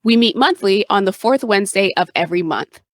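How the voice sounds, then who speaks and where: A woman's voice: medium pitch, animated, a little fast, no room sound. Female podcast host with close, dry sound. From Haiti. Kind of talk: podcast intro and interview hosting.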